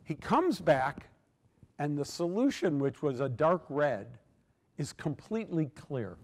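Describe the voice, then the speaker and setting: A man's voice: a little high, big pitch swings, a little slow, darkish tone. Older man lecturing in a reverberant hall. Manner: storytelling, frequent "uh" fillers and restarts. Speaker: keynote lecturer. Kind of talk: academic lecture. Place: large lecture hall, at a podium. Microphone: podium microphone.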